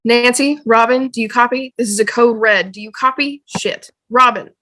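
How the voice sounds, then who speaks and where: A woman's voice: on the high side, fairly even intonation, normal speed, sharp tone, dry recording. A woman playing a frantic teenage boy calling for help, urgent, in a fan table read. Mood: panicked.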